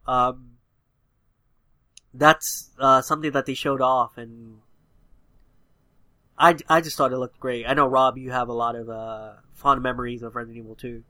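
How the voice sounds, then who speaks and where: A man's voice: medium pitch, fairly even intonation, normal speed, long pauses, pushed and bright, no room sound. Man with a nasal stuffy-nose voice. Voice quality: slightly nasal. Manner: rambling, filler-heavy, enthusiastic. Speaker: podcast co-host. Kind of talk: casual gaming chat.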